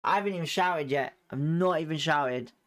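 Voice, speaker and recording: A man's voice: fairly high pitch, normal speed, a bit bright. A man hosting a live fan watchalong at home, calling the play and chatting his opinions while hedging them. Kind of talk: live fan commentary over a match.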